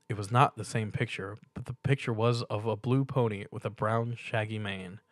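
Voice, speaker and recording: A man's voice: lowish voice, dry recording. A man reading a piece of fan fiction aloud as dramatic story narration.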